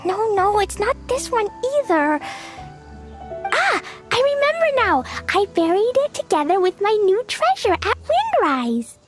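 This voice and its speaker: An excitable little girl in a video game, her voice sitting very high and swooping about as she bursts out with exclamations. She talks slowly and bubbly, with game music playing clearly behind her. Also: heavily acted. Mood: puzzled. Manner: bubbly, exclaiming, humming while searching.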